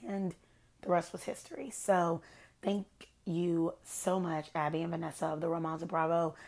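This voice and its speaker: A young adult woman hosting her own podcast, hoarse from losing her voice, chatting in a rambling, gossipy way. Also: level tone, moderate pace, darkish tone. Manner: chatty, rambling, self-described low-key shady. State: hoarse, has lost her voice. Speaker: podcast host. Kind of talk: casual solo podcast chat.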